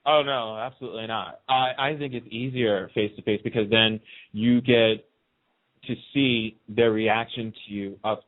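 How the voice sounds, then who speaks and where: A man's voice: on the low side, steady tone, normal speed. A man chatting casually and enthusiastically in an interview, telling anecdotes and acting out the dialogue he quotes. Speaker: radio host being interviewed as a guest. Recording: band-limited, lo-fi phone-in radio sound.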